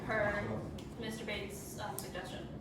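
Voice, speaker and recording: A woman's voice: medium pitch, little pitch movement, unhurried. A female student presenter chatting casually while answering questions. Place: roomy indoor school space, recorded from far off. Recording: echoey room, distant mic, background noise.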